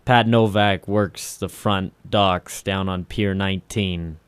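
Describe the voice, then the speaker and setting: A man's voice: low-pitched, fairly even intonation, takes it slowly, clean tone, dry recording. A man presenting an old radio series with a scripted, dramatic introduction. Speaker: show presenter.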